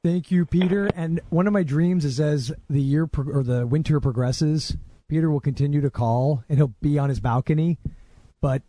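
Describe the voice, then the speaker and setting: A man's voice: a little high, mellow. A man co-hosting a podcast, chatting casually and jokily as he reads listener feedback. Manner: jokey, self-interrupting, quick to laugh. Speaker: podcast co-host.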